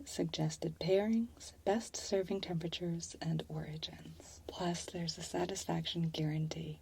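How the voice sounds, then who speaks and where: A woman ASMR creator speaking gently and softly as she reads a sponsor message. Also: on the low side, creaky, breathy edge. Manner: gentle, hushed. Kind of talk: soft-spoken sponsor read.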